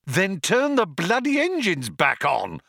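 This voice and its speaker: A man's voice: high-pitched, wildly swooping pitch, normal speed, bright, forceful tone, no echo, studio quality, pitched above the performer's own voice, heavily acted. A brash spaceship captain from a comic audiobook, as a male reader acts him out. He is hot-tempered and impatient, bawling in a raised, wildly swinging voice. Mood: furious.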